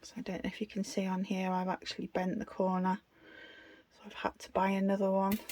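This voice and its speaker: A woman's voice: medium pitch, monotone, fairly quick, a little mellow, dry recording. A British woman giving a casual, unscripted craft tutorial in a chatty, self-deprecating way. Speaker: craft video host.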